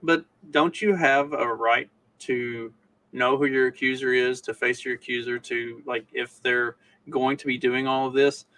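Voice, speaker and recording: A man chatting casually about politics as a podcast co-host, in a halting, questioning way. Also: medium pitch, steady tone, bright, forceful tone, low-quality recording.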